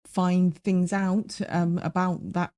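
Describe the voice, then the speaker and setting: A woman co-hosting a podcast, backing up her colleague with quick agreement and introducing listener questions. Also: on the low side, little pitch movement, dark tone. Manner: agreeable, frequent short affirmations. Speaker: podcast co-host.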